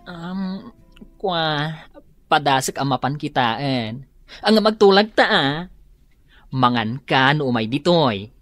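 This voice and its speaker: A woman's voice: sits low, expressive, takes it slowly, no room sound, faint music behind. A Filipino woman acting an anxious, kindly household mother with a soothing, explaining manner in a radio drama. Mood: soothing, concerned.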